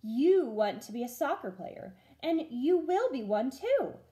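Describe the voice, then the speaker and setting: A plucky young girl rabbit from a storybook, voiced by a woman. She is earnest and emphatic, caring but determined, in a high voice that moves up and down a lot. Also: sing-song, a little slow, warm tone. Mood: firm.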